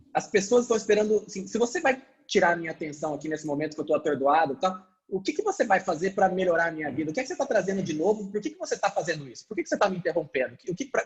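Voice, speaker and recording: A man's voice: a little high, highs cut off. A man speaking as a panelist over a video call, explaining animatedly with frequent fillers. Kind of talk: webinar panel discussion.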